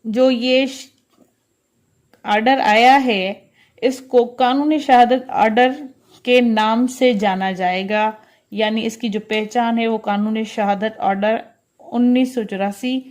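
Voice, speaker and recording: Slow-paced woman giving a lesson. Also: fairly high pitch, a little mellow. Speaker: law lecturer. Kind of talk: explanatory law lesson, alternating reading and explaining.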